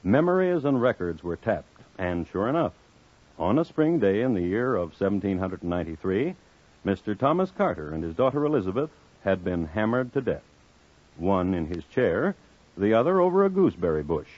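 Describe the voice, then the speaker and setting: Wry male crime narrator, lively pitch, old radio. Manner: dry, wry, measured storytelling. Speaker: host and narrator. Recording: dull 1950s radio recording.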